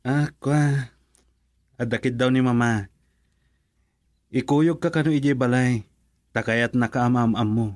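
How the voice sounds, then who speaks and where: Radio romance lead, played by a man: persistent, devoted young boyfriend, mid-pitched, Ilocano accent, very dry close sound. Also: measured pace, pauses often, a little mellow, no room sound, natural voice. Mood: affectionate, teasing.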